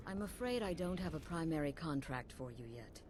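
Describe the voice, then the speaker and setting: An actress voicing a cool-headed female leader of an assassin guild in a video game, speaking softly and slipping into whispers. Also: sits low, expressive, fairly quick, slight background noise. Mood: smooth, welcoming. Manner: soft, intimate, dropping to whispers.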